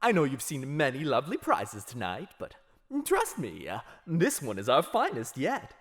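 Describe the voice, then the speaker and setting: A man's voice: medium pitch, wildly swooping pitch, moderate pace, a bit bright. A man playing a suave, playful vampire auctioneer in an audio roleplay, with showy, courteous patter. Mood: showy, teasing.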